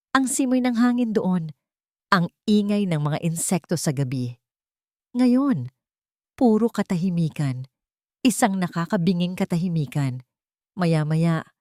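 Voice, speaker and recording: Female story narrator, lower register, emotive and measured, close dry recording. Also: a little mellow, no echo. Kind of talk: dramatic first-person scripted narration.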